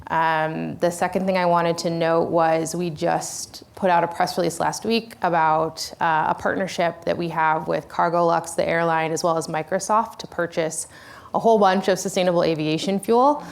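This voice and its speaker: A woman's voice: lowish voice, fairly even intonation, normal speed, vocal fry. A woman speaking on a conference panel, explaining her work with frequent hesitations. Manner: hesitant, with many fillers. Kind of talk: panel presentation.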